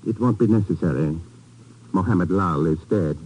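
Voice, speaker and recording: An actor playing a courtly, philosophical Indian police inspector with a formal, poetic manner in a 1950s radio drama. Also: male voice, low-pitched, moderate pace, natural voice. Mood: grave. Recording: hissy old radio recording.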